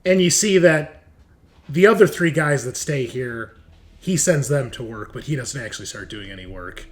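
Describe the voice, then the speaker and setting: A man narrating a tabletop roleplaying game as game master, in an animated, conversational way. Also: medium pitch, normal speed. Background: music.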